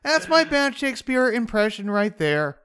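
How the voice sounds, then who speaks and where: A man's voice: very high voice, big pitch swings, moderate pace, pushed and bright, smooth. A man hosting a radio interview in a playful, jokey manner. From San Francisco Bay Area, California. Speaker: radio show host.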